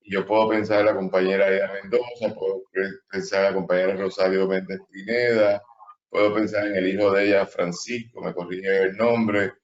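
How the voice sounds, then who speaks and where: Low-voiced host rambling thru an intro, muffled sound. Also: male voice, flat delivery, normal speed. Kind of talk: interview introduction.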